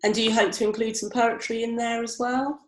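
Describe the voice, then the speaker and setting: A woman's voice: fairly high pitch, level tone, a little fast, reverberant. A woman with family roots in Barbados hosting an online interview, friendly and encouraging, with frequent "um"s. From Britain, family from Barbados. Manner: friendly, encouraging, filler-heavy. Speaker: event host and competition organiser. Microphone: video call.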